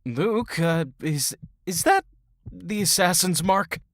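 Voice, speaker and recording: Blunt, low-voiced, expressive adventurer played by a woman. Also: takes it slowly, no echo, studio quality. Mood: worried, disbelieving. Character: Aeson, blunt, skeptical fantasy adventurer, protective of friends. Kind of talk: fantasy audio drama acting.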